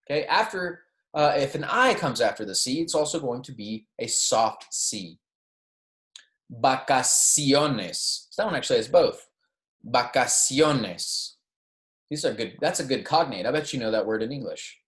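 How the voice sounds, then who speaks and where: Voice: medium pitch, lively intonation, unhurried, a bit bright. A teacher giving an introductory pronunciation lesson in an instructional way, often checking in with the class and modelling words to repeat. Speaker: Spanish teacher. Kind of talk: introductory language lecture on pronunciation, with repeat-after-me examples. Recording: roomy, echoey sound.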